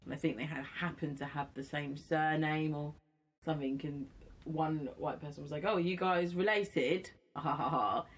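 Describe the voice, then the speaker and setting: A woman's voice: low-pitched, normal speed, husky. A woman in casual podcast conversation, insistent when she makes her point. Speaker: podcast host. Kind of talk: casual chat and debate.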